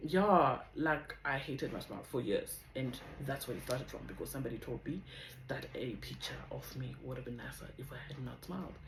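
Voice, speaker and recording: A young woman with a South African accent and a deep voice, chatting in a rambling, casual way as she shares a personal testimony on her vlog. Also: expressive, a little fast, reverberant, slight background noise, rough recording. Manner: chatty, rambling, drifts off topic. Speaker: vlogger.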